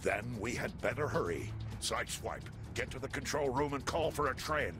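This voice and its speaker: An actor playing a resolute, heroic robot commander in a video game, giving firm commanding orders. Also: male voice, medium pitch, animated, normal speed, close to an everyday voice. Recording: game music behind voice.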